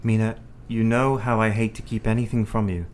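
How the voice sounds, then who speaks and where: A man acting an earnest, determined young Victorian husband in a radio play, with formal, measured period phrasing. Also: on the low side, little pitch movement, darkish tone, natural voice. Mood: tender, resolute.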